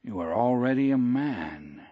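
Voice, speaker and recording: A man voicing a proud, gentle old fisherman in an audiobook, measured and plainspoken. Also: medium pitch, expressive, no echo, muffled recording, voice pushed up. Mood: gentle, resigned. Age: older.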